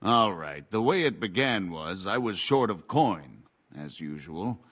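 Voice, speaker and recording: An actor playing a scheming imprisoned knight who talks slyly and self-pityingly in a video game cutscene. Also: male voice, low-pitched, expressive, measured pace, a bit bright, slightly rough, heavily acted. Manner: sly, wheedling, self-pitying. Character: scheming imprisoned robber knight, older man.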